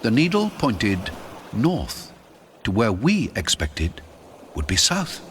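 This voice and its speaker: A man's voice: on the low side, huge pitch swings, slow pace, slight background noise. A man narrating an adventure story in a dramatic, theatrical scripted style.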